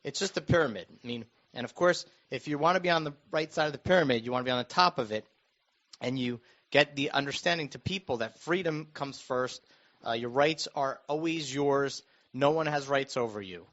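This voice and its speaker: A man's voice: a little fast, a bit bright. A man chatting casually about politics in an earnest, explanatory manner, repeating himself for emphasis. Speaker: radio show host and school board member. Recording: dull, muffled low-fidelity audio.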